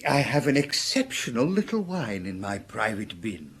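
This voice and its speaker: An actor playing a jovial, cynical, wine-loving village doctor in a radio drama, blunt and dismissive with frequent chuckles. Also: male voice, fairly low pitch, big pitch swings, moderate pace, vocal fry, breathy edge, full character voice. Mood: amused, scornful.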